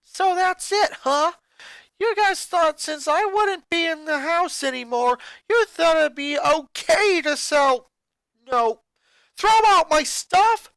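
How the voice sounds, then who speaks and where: Woman playing a bitter yelling kid, high piercing voice, dry close sound. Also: animated, slow, dry recording, heavily acted. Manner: shouting angry rant. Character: furious boy, eleven-year-old, bitter and resentful.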